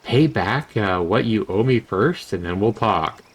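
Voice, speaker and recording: A man's voice: lowish voice, animated, a little slow, husky, exaggerated voice. A man voicing a worried, grumbling young adventurer from a visual novel in a dry, exasperated manner. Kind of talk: visual novel character reading.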